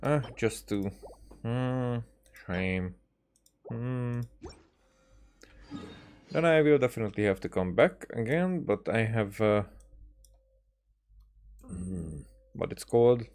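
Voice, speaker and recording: A man's voice: fairly low pitch, animated, takes it slowly, pauses often, darkish tone. A man doing casual live game commentary, hesitant and thinking aloud as he goes. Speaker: video game streamer.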